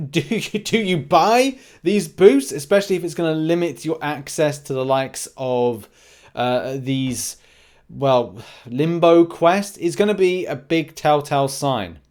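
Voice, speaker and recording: A man's voice: a little high, slow pace, a little mellow. A man hosting a gaming video, talking through game news in a casual, unscripted way, rambling and thinking aloud in short asides.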